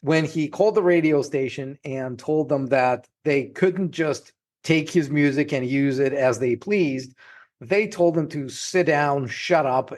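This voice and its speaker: A middle-aged man with a Russian accent tells his story as a podcast guest, in an easy, anecdotal flow. His voice sits at an ordinary pitch for a man, and he speaks conversationally. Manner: lively anecdotal, laughs while talking. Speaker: podcast guest, trademark lawyer. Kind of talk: casual storytelling interview.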